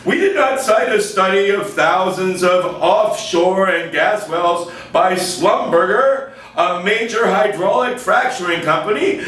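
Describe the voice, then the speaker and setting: A man voicing a gleefully dismissive puppet bureaucrat in a satirical skit, giggly and flippant, with drawn-out exaggerated delivery. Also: high voice, a bit bright, full character voice. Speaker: agency spokesman in satirical skit. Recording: very echoey room, far from microphone.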